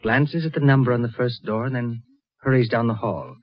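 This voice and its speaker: A man narrating a radio mystery as a measured storyteller, with deliberate, suspenseful phrasing. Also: mid-range voice, lightly acted. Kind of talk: scripted present-tense radio narration. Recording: dull lo-fi radio drama recording.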